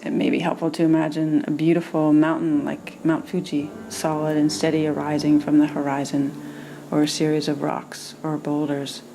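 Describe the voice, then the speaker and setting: A woman meditation teacher leading a guided meditation in a soothing manner, with gentle pauses between phrases. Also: sits low, monotone, slight background noise, poor audio.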